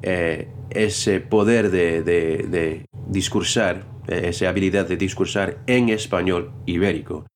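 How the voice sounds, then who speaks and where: A man's voice: sits low, steady tone, unhurried, hardly any pauses, a little hiss or hum. A man in a casual chat, talking hesitantly, often restarting and searching for words. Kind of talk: casual conversation.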